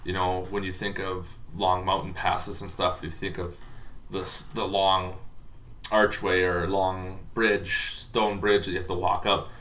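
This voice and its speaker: A man's voice: low voice, level tone, measured pace, fairly bright tone. A man giving a casual, unscripted explainer as a dungeon master, talking in a loose, rambling and hesitant way. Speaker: dungeon master explaining tabletop monster lore. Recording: faint background noise.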